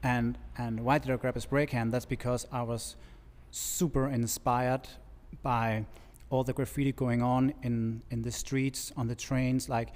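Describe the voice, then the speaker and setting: A man in his thirties from Denmark with a Danish accent, chatting as a guest artist in a relaxed, halting way. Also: mid-range voice, big-room sound. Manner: relaxed, halting, laughs easily. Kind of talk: casual interview chat. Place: large bright studio, indoors. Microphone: stand mic with headphones.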